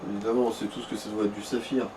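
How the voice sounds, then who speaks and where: A man's voice: lowish voice, level tone, very fast, dark tone, far from the mic. A man keeping a gaming companion company with casual chat and dry, mock-serious quips. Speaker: let's play companion. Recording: off-mic, faint background noise.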